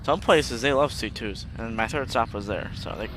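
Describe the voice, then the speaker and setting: A man's voice: medium pitch, normal speed. A man chatting casually while live-streaming a bus-driving game, rambling and laughing often as he reads chat aloud, his voice a little worn. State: slightly worn voice from yelling the night before. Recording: steady engine and traffic noise from the game.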